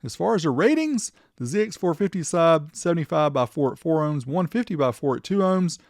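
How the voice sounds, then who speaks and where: A man's voice: fairly high pitch, very animated, fairly quick, dry recording, studio quality. A man narrating a product showcase as the host, in a chatty, informal way. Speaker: host of a vintage car audio video channel.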